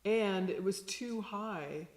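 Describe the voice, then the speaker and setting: A woman with a low voice, a sound healer giving a gentle, unhurried lesson. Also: echoey room. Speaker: shamanic sound healer teaching. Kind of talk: slow calm instructional talk.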